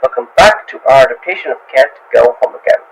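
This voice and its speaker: A man's voice: medium pitch, animated, fast. A man announcing an audio drama, reading a scripted recap as the show's announcer. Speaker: show announcer. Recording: clearly noisy background.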